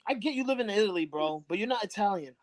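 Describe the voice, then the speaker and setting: A man from New York co-hosting a sports talk show, talking in heated, profane banter. His voice sits a little high for a man. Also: animated, fast. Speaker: co-host. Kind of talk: casual sports chat.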